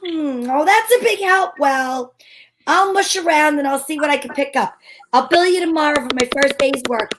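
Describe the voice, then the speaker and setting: A woman's voice: high-pitched, normal speed, a bit bright, low-quality recording. A woman playing a breezy, wisecracking private detective in an amateur old-time radio drama, wry and playful.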